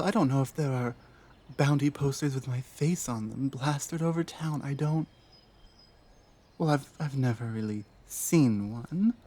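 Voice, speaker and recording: Woman playing a slow, hesitant, timid orc outcast. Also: very deep voice, mellow, exaggerated voice. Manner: hesitant, halting, with many stammered restarts. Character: timid, wary female orc outcast seeking help. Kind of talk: roleplay audio drama, ASMR.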